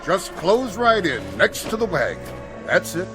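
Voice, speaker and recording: A man's voice: high-pitched, big pitch swings, unhurried, music in the background. An actor playing a glib travelling medicine salesman with grandiose, theatrical patter in an old TV drama. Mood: grandiose pitching. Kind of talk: old television drama acting, sales spiel.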